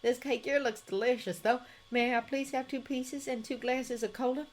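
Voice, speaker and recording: A woman's voice: a little high, fairly even intonation, moderate pace, voice pushed up, natural voice. A woman voicing a friendly, nervous male tourist who speaks sheepishly. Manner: sheepish and warm.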